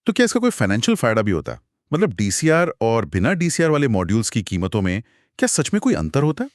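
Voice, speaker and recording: A man's voice: mid-range voice, huge pitch swings, fast talker, dry recording, clean studio sound. A man with an Indian accent co-hosting a conversational podcast discussion, inquisitive and emphatic as he questions and sums up. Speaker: co-host asking questions.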